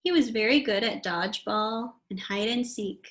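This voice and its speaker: A woman reading a children's storybook aloud with deliberate, expressive delivery. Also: a little high, slight background noise.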